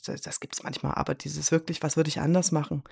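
A woman's voice: sits low, little pitch movement, fast talker, vocal fry, darkish tone, husky, no room sound, clean studio sound. A woman talking casually as an interview guest, informal and given to voicing imagined quotes. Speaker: radio drama director, interview guest.

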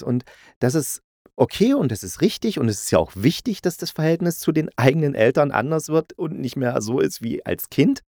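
A man's voice: mid-range voice, darkish tone, dry recording, studio quality. A middle-aged man from East Germany giving a casual, colloquial and enthusiastic review as a podcast host. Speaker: audio drama critic, podcast host.

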